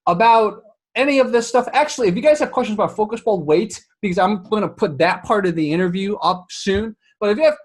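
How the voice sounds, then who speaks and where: A man from Southwest China hosts a casual interview in a high, slightly husky voice. He chats freely, laughs easily and restarts his sentences with plenty of fillers. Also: brisk. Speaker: podcast host.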